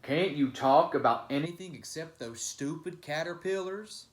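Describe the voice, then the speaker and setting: A man voicing a mean, gruff loner who growls and grumbles in a children's storybook. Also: fairly high pitch, lively intonation, normal speed, roomy sound, close to an everyday voice. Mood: grumbling.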